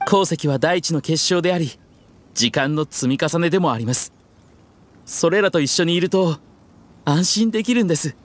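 An actor playing a polite, earnest young man in an anime game, gentle and courteous. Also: fairly high pitch, animated, normal speed, pauses often, a little hiss or hum, natural voice. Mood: gentle, content.

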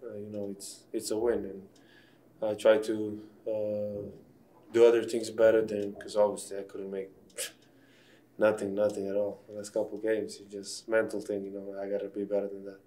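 A man's voice: fairly low pitch, completely flat delivery, normal speed, dark tone. A man in his twenties, a basketball player whose first language is Slovenian, giving short, plain, subdued answers after a game. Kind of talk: post-game press conference. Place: press room backdrop, indoors.

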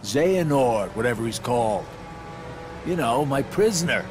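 A man's voice: mid-range voice, very animated, normal speed, music in the background, close to an everyday voice. An actor playing a cocky henchman villain in a game cutscene, sneering and casually taunting. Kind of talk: animated game cutscene dialogue.

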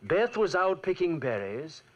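An actor playing an obsessed, anguished scientist in a 1940s radio drama, with intense dramatic delivery. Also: male voice, sits high, very animated, a little slow, lo-fi sound, noisy background. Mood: haunted narration. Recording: old 1940s radio recording.

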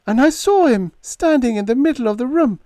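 A heartbroken young woman speaks in a high, lively, pleading voice, as a male reader puts her on. Her words come intense and eager. The sound is close and dry. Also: fairly quick, dry recording.

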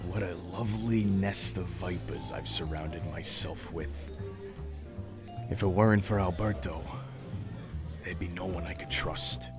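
Man playing a slow, menacing mob boss. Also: low voice, music in the background. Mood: bitter. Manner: deliberate, veiled threats. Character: menacing, self-assured male mob boss with grown son. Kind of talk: radio noir acting.

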